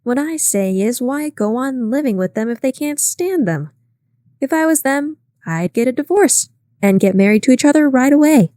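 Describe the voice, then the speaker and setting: A woman's voice: sits high, animated, normal speed, mellow, dry recording, clean studio sound, pitched above the performer's own voice. A woman reading aloud, voicing a chatty, gossiping young woman with a raised, breathless voice. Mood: confiding.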